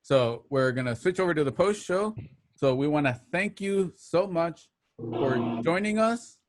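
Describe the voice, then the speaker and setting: A man hosting an online show, giving casual, slightly halting announcements and plugs to listeners. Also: a little high, expressive. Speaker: host of an online radio drama show.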